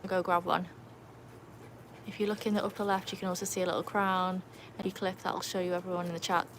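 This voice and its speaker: A woman's voice: fairly low pitch, level tone, a little fast, fairly bright tone, a little hiss or hum. A woman from England with an English accent, chatting casually through a livestream by her backyard pool and calling out to her dogs. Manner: chatty, laughs often, calls to her dogs. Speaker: livestream host.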